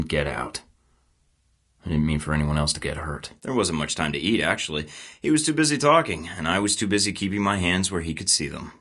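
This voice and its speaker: A young male secret agent in a studio video game, speaking in a bass-deep register. He stays dry, wry and unbothered, and tosses off sarcastic quips. The delivery is clean studio game dialogue. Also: a bit bright. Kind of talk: game voice lines.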